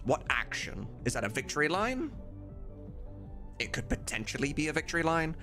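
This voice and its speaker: A man's voice: on the high side, sing-song, normal speed, gravelly. A man talking casually and thinking aloud on a livestream, enthusiastic and emphatic, with some mild swearing. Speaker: game mod voice director and streamer. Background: music in the background.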